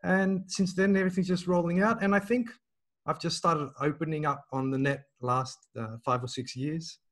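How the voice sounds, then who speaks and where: A man's voice: on the high side, fairly even intonation, normal speed, fairly bright tone. A man in his forties from Australia, talking hesitantly with many ums and restarts on a video call. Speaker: language school owner. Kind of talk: casual video call chat.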